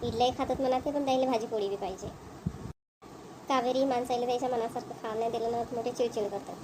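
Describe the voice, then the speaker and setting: Village mother for cartoon comedy female performer: high voice, homely gossiping manner, Varhadi Marathi accent, slightly noisy recording. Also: steady tone, fast talker, lightly acted. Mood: neighbourly. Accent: Varhadi Marathi of Vidarbha. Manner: chatty, homely, repetitive phrasing. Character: chatty village housewives and mothers, homely, mildly exasperated. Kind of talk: amateur cartoon comedy dialogue.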